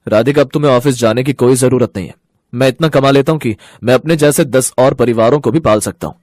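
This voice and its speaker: A man's voice: medium pitch, quick pace, a little mellow, no room sound, natural voice. Man playing a firm young husband, dry sound. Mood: assured. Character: protective, confident young husband and elder brother.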